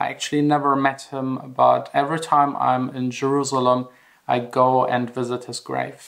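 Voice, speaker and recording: A man's voice: mid-range voice, a bit bright, a little hiss or hum. A young man from Germany with a German accent, narrating a personal vlog in an earnest, reflective way. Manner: earnest, reflective, plainly spoken. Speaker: vlogger, house DJ and music producer.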